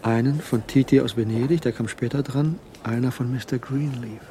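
A male narrator with a mellow, mid-pitched voice tells a story for radio drama. He is measured and suspenseful, with faint noise behind him. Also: steady tone. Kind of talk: scripted radio play narration.

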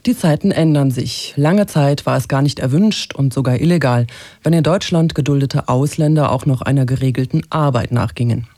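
A man's voice: medium pitch, moderate pace, a little mellow, no echo, clean studio sound. A man with a standard German accent from Germany, presenting scripted radio news.

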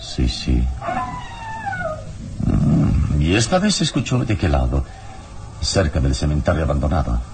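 An actor playing a calm, watchful heroic adventurer in a radio serial, speaking in a solemn, deliberate manner. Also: male voice, very deep voice, gravelly, exaggerated voice. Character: calm, watchful heroic adventurer mentoring his young companion. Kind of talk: old radio serial acting.

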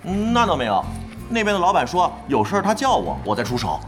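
A man's voice: a little high, wildly swooping pitch, quick pace, soft background music. A man playing a goofy, naive young tough in an audio drama, boisterous and earnest, full of exclamations. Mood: earnest, cocky.

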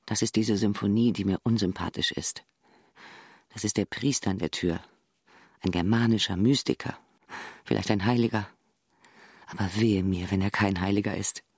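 An actor voicing a bitter, haunted inner monologue of accusation in a radio drama, measured and incantatory. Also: male voice, lowish voice, creaky, slightly rough, no room sound, clean studio sound. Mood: uneasy.

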